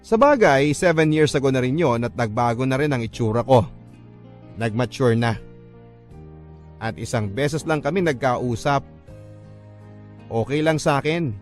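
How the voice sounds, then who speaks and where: A Filipino man reads in the first person as a radio storyteller. His delivery is scripted and dramatic, in a middle register. Music runs softly behind his voice. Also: medium pitch, unhurried, pauses often. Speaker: radio storyteller reading a listener's letter. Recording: music bed under the voice. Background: background music.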